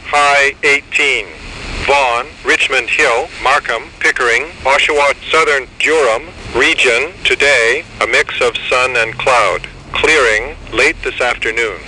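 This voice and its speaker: A man's voice: mid-range voice, unhurried, sharp tone. A synthetic man's voice reading a scripted weather forecast as a radio announcer, in clipped, segmented phrases. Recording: noisy weather radio receiver.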